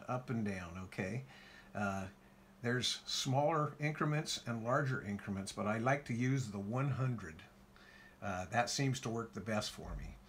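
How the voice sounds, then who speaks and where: A man calmly walking through his ham radio station setup in a casual how-to, with lots of filler words. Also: medium pitch, slight background noise. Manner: relaxed, folksy, frequent "uh" fillers. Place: home radio shack by a window.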